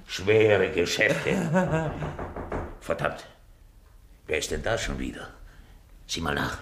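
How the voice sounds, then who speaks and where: An actor in a radio play as a grumpy, scheming old master, grumbling and stammering with irritation, in a roomy, noisy recording. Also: male voice, sits low, huge pitch swings, slow pace, exaggerated voice. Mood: irritated. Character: grumpy, scheming rich old master feigning mortal illness. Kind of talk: theatrical radio play acting. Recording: roomy sound with clear noise.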